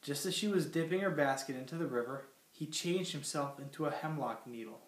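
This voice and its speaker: A man's voice: fairly high pitch, brisk. A young man from Minnesota with a cold, teaching a classroom lesson and reading a story aloud in a plain, teacherly way with many fillers. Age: young adult. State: has a cold. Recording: roomy sound from far off.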